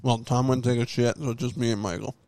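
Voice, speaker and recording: A man's voice: mid-range voice, fairly even intonation, fast talker, darkish tone, no room sound, studio quality. A young man hosting a podcast in casual banter, teasing and swearing freely. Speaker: podcast host.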